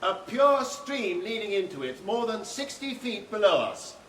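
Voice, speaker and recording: High-voiced, reluctant British novelist. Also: male voice, very animated, measured pace, fairly bright tone, roomy sound, slight background noise, heavily acted, rough recording. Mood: reluctant, explaining. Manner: diffident, clipped upper-class understatement. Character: reluctant, irritable male novelist, diffident and dry. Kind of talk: British radio drama acting.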